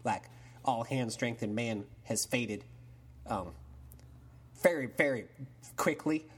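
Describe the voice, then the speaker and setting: Man playing a slow-witted Texan caller; husky, rambling drawl. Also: mid-range voice, voice pushed down. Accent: Texan, put on. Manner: rambling, full of fillers, drawling. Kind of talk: improvised call-in sketch.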